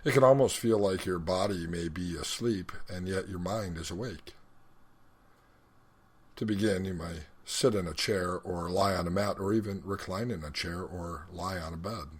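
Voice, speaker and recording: A man leading a guided meditation as an instructor, speaking in a measured, instructional way with deliberate pauses. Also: low-pitched, breathy edge.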